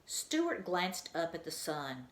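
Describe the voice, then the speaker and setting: A woman reading a children's book aloud as a teacher, with an expressive storytelling manner, in an echoey room. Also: low voice, slow.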